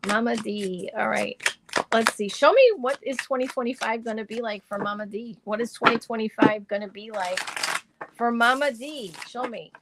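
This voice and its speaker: A middle-aged woman doing live astrology and tarot readings for viewers, rambling and chatty with lots of fillers, her voice slightly hoarse and deepened. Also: moderate pace, heavy background noise.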